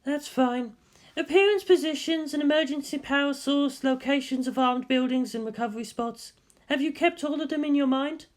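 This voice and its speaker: A woman's voice: sits high, moderate pace, voice pushed up, lightly acted. A narrator voicing a stern, easily irritated woman scientist who teaches in a clipped, commanding way, in an audio story. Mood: brisk, instructive. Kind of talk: amateur fan fiction reading with character voices.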